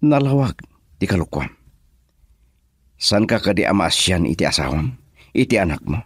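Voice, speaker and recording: A man's voice: low-pitched, big pitch swings, normal speed, breathy edge, no room sound, clean studio sound, exaggerated voice. A man acting a cold, taunting schemer in a radio drama, speaking in a menacing way.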